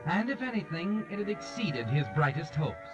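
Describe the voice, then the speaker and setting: Ominous male storyteller, ironic, measured, dull old radio sound, music underneath. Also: a little high, sing-song. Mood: sardonic, knowing. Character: ominous, knowing male mystery narrator, sardonic. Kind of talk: 1940s radio mystery narration. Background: dramatic music.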